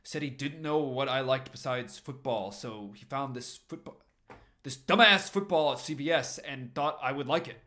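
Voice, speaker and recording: Young jock acted by a male reader, gruff and blunt, guarded, forceful bright delivery for story narration. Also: mid-range voice, moderate pace, voice pushed up, lightly acted. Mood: choked up. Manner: blunt, swearing. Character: gruff, foul-mouthed young jock with a guarded soft side.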